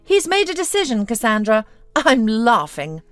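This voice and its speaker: A woman's voice: mid-range voice, sing-song, unhurried, no room sound, faint music behind. A woman voicing a haughty, scornful, domineering businesswoman with a mocking, theatrical manner in a narrated story.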